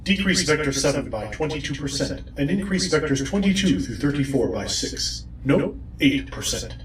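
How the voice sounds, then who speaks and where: An actor playing a commanding, obsessed villain in an audio drama, speaking in a deliberate, threatening way. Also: male voice, a little high, lively intonation, lightly acted. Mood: cold, focused. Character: commanding, obsessed villain hunting a lost loved one. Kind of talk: dramatic audio fiction acting. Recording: echoey, distant, faint noise.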